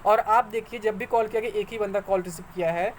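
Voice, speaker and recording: A man's voice: high-pitched, fairly quick, a little hiss or hum. A man giving informal explanatory commentary to viewers, conversational and argumentative, repeating points for emphasis. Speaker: exam news YouTuber.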